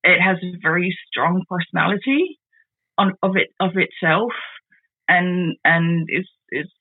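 A Dutch-accented woman in her forties with a gravelly, husky voice. She talks in a halting way as an interview guest, restarting and repeating words. The sound is dry and thin, like a phone line. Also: lowish voice, no room sound. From Netherlands. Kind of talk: casual conversational interview. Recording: tinny phone-like call audio.

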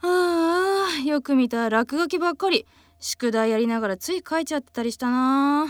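A woman's voice: sits high, moderate pace, no echo, clean studio sound, natural voice. A woman playing an easygoing, dreamy young aspiring cartoonist who sighs and muses to herself in a radio drama. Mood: nostalgic. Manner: sighing, musing to herself.

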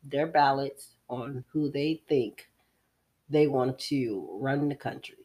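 A woman co-hosting a podcast, bantering in a dry, deadpan, teasing way. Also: very deep voice, takes it slowly. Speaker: podcast co-host. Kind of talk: casual podcast chat.

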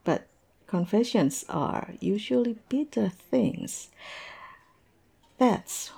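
A woman's voice: medium pitch, animated, extremely slow, creaky, mellow, husky, exaggerated voice. A woman acting in a roleplay audio drama as a tipsy, lovestruck young woman, self-mocking and playfully theatrical. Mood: playful, explaining.

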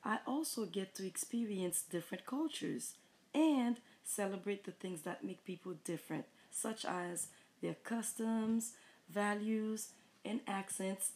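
A woman with a Haitian accent, a mother and teacher, giving earnest, measured answers in a family interview. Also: medium pitch, darkish tone. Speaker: mother, high school teacher. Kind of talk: reading prepared interview answers.

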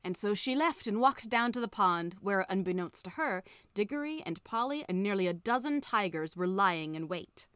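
A young adult woman reading a children's story aloud, narrating with lively dialogue. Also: medium pitch, normal speed, few pauses, clear, resonant tone, dry recording, studio quality. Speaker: reader.

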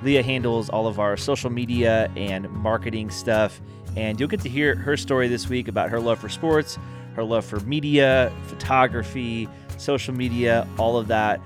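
A man's voice: mid-range voice, normal speed. Upbeat male podcast host over guitar music. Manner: friendly and upbeat. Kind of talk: podcast intro and interview. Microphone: video call.

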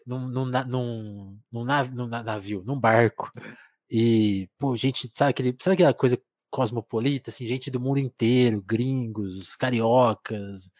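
A man with a countryside São Paulo accent hosting a podcast, telling relaxed anecdotes and often repeating words as he stammers. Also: mid-range voice, unhurried, no echo. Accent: São Paulo countryside (caipira R). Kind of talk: casual conversation.

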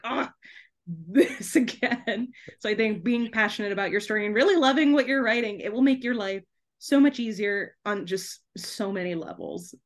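A woman guest talking casually in a podcast interview, often giggling as she speaks. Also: mid-range voice. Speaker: guest author and TV trailer writer.

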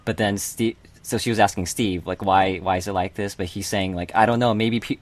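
A man chatting casually on a podcast, speaking in a halting, tentative way. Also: on the low side, steady tone. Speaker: podcast co-host. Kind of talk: casual film discussion.

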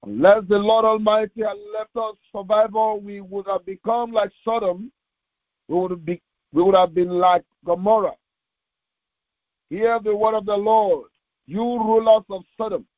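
A man preaching as a pastor, reading scripture aloud in a solemn, deliberate way with short halting phrases, over a narrow phone-like recording. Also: high-pitched, fairly even intonation, no echo. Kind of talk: devotional sermon with slow scripture reading aloud. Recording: tinny, phone-like narrowband audio.

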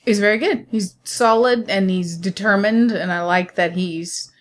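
A woman with an average-pitched voice speaks as a co-host in a relaxed film discussion. She is conversational, frank and matter-of-fact in how she gives her views. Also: unhurried. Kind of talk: casual film review chat.

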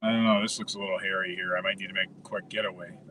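A man's voice: low-pitched, flat delivery, rapid-fire, sharp tone, slightly rough, low-quality recording. A man with a Southern accent co-hosting a podcast from a parked car, wry and joking, with easy swearing. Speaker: podcast co-host. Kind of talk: casual podcast chat.

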